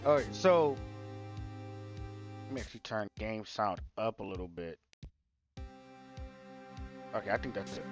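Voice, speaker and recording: Slow-paced casual game streamer over music. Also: mid-range voice, expressive. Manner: informal, slangy, incredulous reactions. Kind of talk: casual live let's play commentary, reading dialogue aloud.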